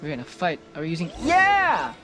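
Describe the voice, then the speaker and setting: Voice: medium pitch, wildly swooping pitch, normal speed. A streamer giving casual reaction commentary while playing a video game. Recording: loud game music behind. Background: video game music and dialogue.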